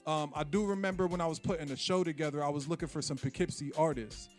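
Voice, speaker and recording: A man's voice: fairly high pitch, steady tone, fast talker, a little mellow, faint music behind. A man hosting a casual radio interview, laid-back and slangy with lots of fillers. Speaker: radio show host.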